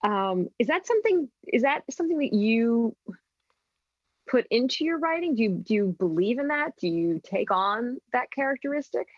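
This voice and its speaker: A woman's voice: fairly high pitch, lively intonation, normal speed, dry recording, highs cut off. A woman hosting an interview, asking looping questions and murmuring "mm-hmm" while listening. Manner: warm back-channel "mm-hmm", rambling questions. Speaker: radio host.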